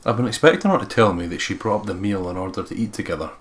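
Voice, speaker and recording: Low-voiced man doing a let's play. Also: lively intonation, racing. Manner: swears casually, breaks off into joking asides. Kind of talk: reading visual novel narration aloud with jokey commentary.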